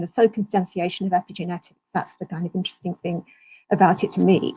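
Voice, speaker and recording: A woman literature scholar talking through ideas in an online seminar, thoughtful and animated. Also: medium pitch, slightly rough, rough recording. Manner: thoughtful, animated, academic phrasing. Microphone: video call.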